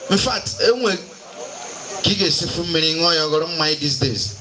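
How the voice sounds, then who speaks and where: Animated, high-pitched Nigerian Igbo male event host. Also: brisk. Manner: showy announcer phrasing, switches between Igbo and English. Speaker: master of ceremonies at a stage play. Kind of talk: live event hosting to an audience. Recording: noisy live event over a microphone.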